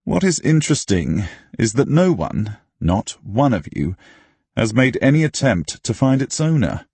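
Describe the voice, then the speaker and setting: A man playing a dry, sensible man in an audiobook pub scene. He reasons pointedly and unhurriedly, with a husky grain and expressive pitch. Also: unhurried, no echo, exaggerated voice. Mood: challenging. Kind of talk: audiobook character acting.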